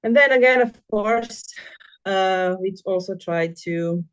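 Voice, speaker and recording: A middle-aged woman from Croatia with a Croatian accent gives an informal presentation of her own work, pausing and searching for words as she goes. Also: mid-range voice, smooth, highs cut off. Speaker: compost practitioner and agricultural school project manager, presenting.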